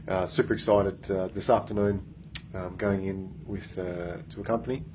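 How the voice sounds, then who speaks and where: A man's voice: deep voice, fairly even intonation, normal speed, a little mellow, a little hiss or hum. A man in his thirties, a coach, talks earnestly into a phone held at arm's length in an office meeting room, with lots of fillers and restarts. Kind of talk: casual self-recorded vlog.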